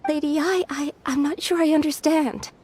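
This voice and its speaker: A woman's voice: high voice, fairly even intonation, moderate pace, a little mellow, slight background noise, lightly acted. An actress playing a worried young female sword student who pleads anxiously in a video game.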